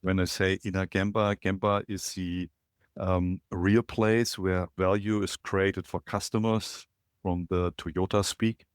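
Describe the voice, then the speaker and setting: A middle-aged man from Germany with a German accent, a podcast guest calling in remotely and telling his story in a reflective, halting way with many fillers. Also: deep voice, flat delivery, smooth, no room sound, clean studio sound. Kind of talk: casual interview, storytelling. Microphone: remote call.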